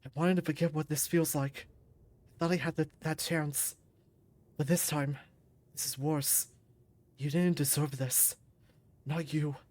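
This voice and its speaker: Woman doing a guilt-ridden young male fighter, low-voiced, quiet, introspective, bitterly wry, visual novel monologue. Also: steady tone, a little slow, long pauses. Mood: guilty, mournful.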